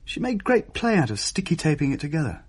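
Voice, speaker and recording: A man's voice: a little high, very animated, fast talker, breathy edge. An actor playing a wry young English fiancé, telling his story conversationally in a radio play. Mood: wry, chatty. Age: young adult. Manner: wry, matter-of-fact storytelling. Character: wry young fiancé recounting a chaotic haunted night.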